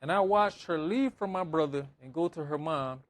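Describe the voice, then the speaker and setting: A man with a fairly high voice delivers a conversational sermon. He speaks as a preacher, driving points home with emphatic repetition and everyday examples. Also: normal speed. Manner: emphatic, repeating phrases, acting out dialogue. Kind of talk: conversational sermon with scripture reading and anecdotes.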